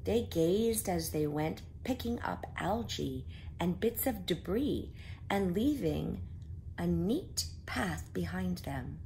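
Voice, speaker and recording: A woman reading a story aloud to young children, explaining simply with drawn-out emphasis like a teacher. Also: on the low side, lively intonation, mellow, slight background noise, low-quality recording.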